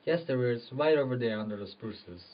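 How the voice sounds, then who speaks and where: A dull, distant recording of a man acting as an anguished rural man in love in a stage drama. He speaks fervently and haltingly in a medium-pitched voice. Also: lively intonation, close to an everyday voice. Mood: tender coaxing. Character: lovelorn rural husband, anguished and impulsive.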